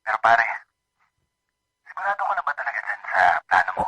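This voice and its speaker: A man's voice: medium pitch, big pitch swings, a little fast, sharp tone, husky, exaggerated voice, poor audio. A man with a Filipino accent playing a supportive married buddy in a radio drama, casual and teasing. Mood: upbeat, teasing. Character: upbeat supportive married male buddy, slightly doubtful.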